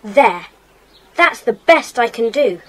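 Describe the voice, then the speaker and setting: A woman playing an observant, decisive schoolgirl detective with a brisk, earnest manner in a children's audio drama. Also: a little high, expressive, poor audio.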